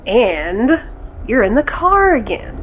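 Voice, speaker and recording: A skeptical, teasing friend on a dull phone line with slight vehicle hum. She speaks slowly in a fairly high, very expressive voice, wry and full of questions, in an audio drama style. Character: skeptical, teasing close female confidante. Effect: phone call filter. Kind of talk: naturalistic audio drama acting.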